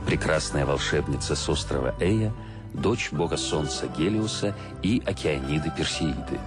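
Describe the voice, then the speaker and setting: A man reading formal encyclopedic entries as an announcer in a radio production. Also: very deep voice.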